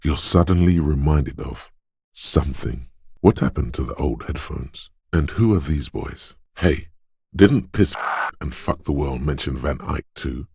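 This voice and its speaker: An actor playing a detective's quick-witted, suspicious inner voice of intuition in a video game, narrating in a measured, knowing, urging manner. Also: male voice, lively intonation, a little mellow, dry recording, exaggerated voice. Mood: wry, suspicious. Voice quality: very deep, smooth, resonant. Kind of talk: scripted game narration, second-person inner voice.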